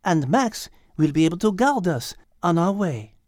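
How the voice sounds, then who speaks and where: A man's voice: high voice, sing-song, moderate pace, a little mellow, no room sound, clean studio sound, voice pushed up. A man voicing a refined, knowledgeable female cat with a French accent and precise, formal wording, in an audiobook. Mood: composed, reasoning. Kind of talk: audiobook character acting.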